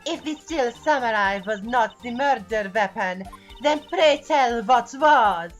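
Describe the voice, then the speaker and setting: A woman voicing an imperious, coolly menacing TV producer in a video game fan dub, haughty and measured, with a condescending manner. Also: fairly high pitch, animated, soft background music.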